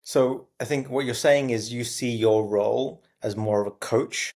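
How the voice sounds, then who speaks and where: A man in his thirties hosting an interview podcast, polite and measured, with "um" fillers. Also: mid-range voice, darkish tone. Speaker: podcast host.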